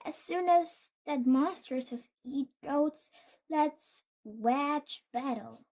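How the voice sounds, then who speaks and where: A bold young princess heroine from a storybook, acted out by a woman reader. The voice is very high, with emphatic, measured, battle-ready delivery. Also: darkish tone. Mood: eager.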